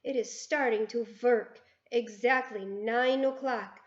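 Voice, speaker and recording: A woman voicing a ruthless chief witch who speaks in clipped, broken grammar and gloats loudly. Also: fairly high pitch, fairly even intonation, slow. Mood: gloating. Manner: gloating, triumphant shouting. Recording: roomy, dull lo-fi sound.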